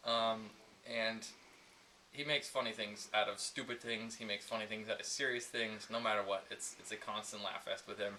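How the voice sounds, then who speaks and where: A young man joking about his video partner in a mock behind-the-scenes interview, halting with many restarts. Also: lowish voice, fairly even intonation, bright, forceful tone, slight background noise, rough recording. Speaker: video creator.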